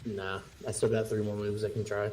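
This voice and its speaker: A man's voice: lowish voice, level tone, fairly quick, darkish tone, slight background noise. A man talking casually as a player in a tabletop role-playing game, informal and sweary. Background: guitar music.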